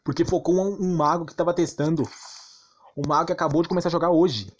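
A man's voice: a little high, fairly even intonation, quick pace, a little hiss or hum. Brazilian man ranting about a game. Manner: argumentative, insistent, repetitive, slangy. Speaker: gaming YouTuber. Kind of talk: informal game rant commentary.

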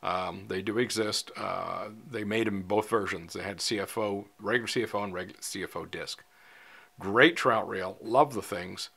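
Older man in his 60s, low-pitched, casual chatty vlogger who talks to camera with plenty of filler words. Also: moderate pace, vocal fry, a bit bright, breathy edge. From Canada. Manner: chatty, frequent ums and uhs, gestures with hands. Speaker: fly fishing vlogger. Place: indoor studio, seated in front of a screen.

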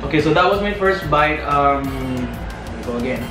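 A man's voice: a little high, animated, normal speed. A young Filipino man with a Filipino accent, chatting upbeat and tasting food indoors, laughing often. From Philippines. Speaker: vlogger. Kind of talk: casual food tasting chat. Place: indoors in a small room, seated at a table. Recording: echoey room from a distance with music.

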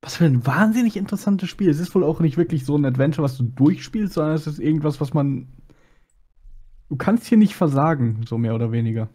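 Male co-player, husky and mellow, mid-pitched, musing casual game commentary. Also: expressive, a little fast.

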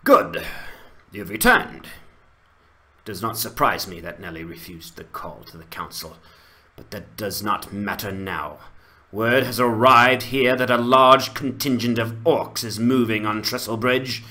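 A man voicing a grave, courteous ranger leader with a formal, measured delivery in a fantasy game dramatization. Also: lowish voice, bright, forceful tone. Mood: grave, urgent.

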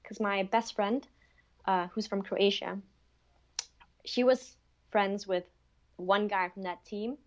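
A woman's voice: medium pitch, fairly even intonation, slow pace, pauses often, muffled recording. A young woman from Denmark, a podcast guest, chatting casually at home with many "like" and "um" fillers over an earphone mic on a video call. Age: young adult. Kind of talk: casual interview chat. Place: bedroom at home.

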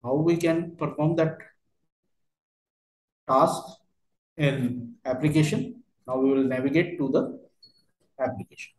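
A man working through a step-by-step software tutorial as a trainer, speaking in halting, fragmented phrases. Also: a little high, fairly even intonation, a little hiss or hum. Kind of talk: step-by-step screen tutorial narration. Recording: roomy, echoey voice recording.

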